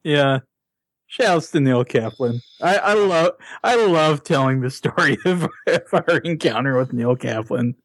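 A man's voice: a little high, unhurried, no room sound, studio quality. A young man hosting a casual podcast chat, laughing often as he talks. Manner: laughing, animated. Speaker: podcast host.

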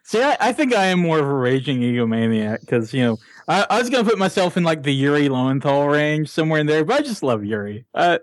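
A man's voice: on the high side, fast, few pauses, no echo. A young man hosting a casual podcast chat, laughing often as he talks. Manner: laughing, animated. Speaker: podcast host.

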